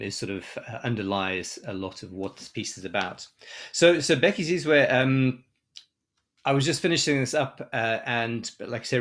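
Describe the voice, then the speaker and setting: A man's voice: mid-range voice, a bit bright. A man from South Africa, a composer giving a conference talk in a hesitant way, with many ums and restarts. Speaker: composer and lecturer. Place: online symposium.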